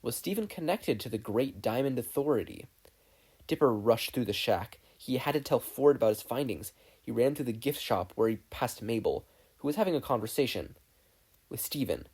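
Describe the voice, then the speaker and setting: A narrator reading a fan fiction story aloud in an expressive, scripted style. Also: mid-range voice, normal speed, breathy edge. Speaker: podfic narrator.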